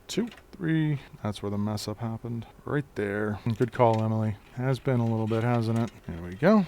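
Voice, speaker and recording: Relaxed deep-voiced man explaining a repair. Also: normal speed, slight background noise. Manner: relaxed, chatty, talks through each step and answers chat. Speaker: computer repair technician. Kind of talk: live-streamed repair walkthrough.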